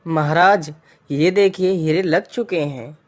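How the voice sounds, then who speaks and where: A performer voicing a clever male thief in a Hindi moral-story cartoon, speaking in a measured, persuasive way. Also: fairly high pitch. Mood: assured.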